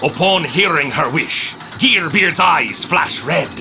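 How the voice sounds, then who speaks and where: A male narrator with a fairly high voice tells an adventure tale. His delivery is theatrical and ominous, swooping dramatically over prominent music. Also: lively intonation, takes it slowly, vocal fry. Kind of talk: dramatic scripted storytelling.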